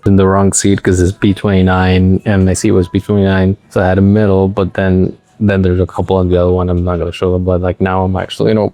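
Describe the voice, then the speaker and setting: A man in his thirties from Puerto Rico, narrating a casual travel vlog to a handheld phone camera in a relaxed, rambling way. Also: low voice, fast talker, hardly any pauses. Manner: relaxed, rambling, thinks aloud. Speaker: vlogger and entrepreneur.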